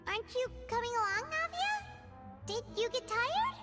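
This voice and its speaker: A woman voicing a small, chirpy, childlike fairy companion girl in an anime-style video game, squeaky and exclamatory. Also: sing-song, moderate pace, full character voice. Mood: bright. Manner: talks about self in third person, exclamatory. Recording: loud music under the voice.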